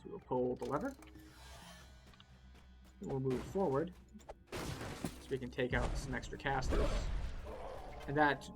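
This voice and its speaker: A man's voice: mid-range voice, unhurried, creaky, pauses often, music in the background. A man giving step-by-step playthrough commentary as a video game guide, explaining things in an instructive way.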